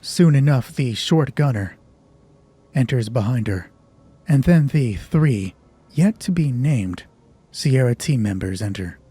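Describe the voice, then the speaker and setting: A man's voice: mid-range voice, mellow, husky. A man hosting a solo tabletop roleplaying game, narrating the story and explaining rules conversationally, thinking aloud with self-deprecating asides.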